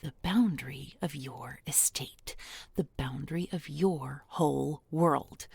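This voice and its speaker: A woman's voice: lowish voice, creaky, darkish tone, husky, no room sound, full character voice. A woman playing a bookish village beauty turned sly, menacing captor in an intimate audio roleplay, speaking with mock tenderness and often dropping to a whisper. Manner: deliberate, coaxing, mock-tender with pointed irony. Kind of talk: intimate audio roleplay spoken to one listener.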